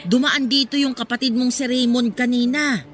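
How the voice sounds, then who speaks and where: A woman's voice: on the high side, steady tone, moderate pace, lightly acted. A woman playing a nosy, chatty middle-aged barbecue vendor in a Tagalog radio drama, with a gossipy, scolding manner and a Filipino accent. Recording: music bed under the voice. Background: music.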